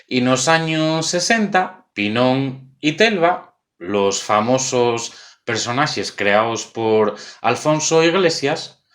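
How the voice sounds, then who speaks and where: Male video host, Asturian accent, mid-pitched voice, conversational and wry explainer delivery. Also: animated, takes it slowly, fairly bright tone. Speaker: video host presenting local cultural history. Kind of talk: informal explainer to camera.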